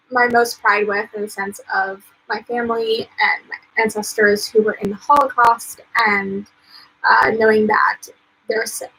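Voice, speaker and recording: A young American woman, an author, chatting casually on a live stream, full of "um"s and "like"s and quick laughs. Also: mid-range voice, sharp tone, husky, poor audio. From United States. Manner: chatty, lots of "um" and "like", laughs often. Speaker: author and vlogger.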